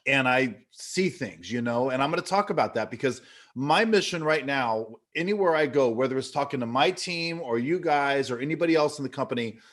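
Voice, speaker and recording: A man's voice: medium pitch, animated, fairly quick. Middle-aged man, earnest motivational speaker, rambling story delivery with restarts. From California. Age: 50s. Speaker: business leader speaking at an online event. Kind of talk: motivational talk.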